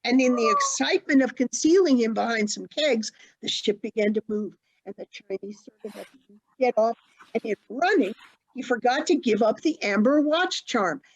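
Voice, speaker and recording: A woman playing an elderly, forgetful, genteel mother in a stage play, telling her story in a dramatic, halting way. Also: on the high side, expressive. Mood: earnest reminiscence. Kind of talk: stage acting in an online one-act play. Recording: dull lo-fi online call audio.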